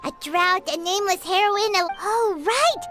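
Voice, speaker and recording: Squeaky, very high childlike fairy companion. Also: female voice, normal speed. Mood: excited. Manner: exclamatory, refers to herself by name. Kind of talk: video game voice acting. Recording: loud music behind the voice.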